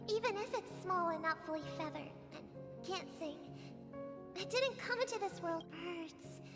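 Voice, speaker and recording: A woman's voice: extremely high pitch, lively intonation, normal speed. A woman voicing a tender, curious little girl, a younger sister in a video game. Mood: earnest, innocent. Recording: loud music underneath.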